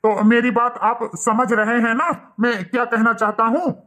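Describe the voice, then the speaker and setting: A man's voice: extremely high pitch, little pitch movement, normal speed, pushed and bright. Formal male doctor for a cartoon, grave and cautioning, voiced by a man. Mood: grave, reassuring. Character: male hospital doctor, grave, reassuring, lecturing. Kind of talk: cartoon dialogue.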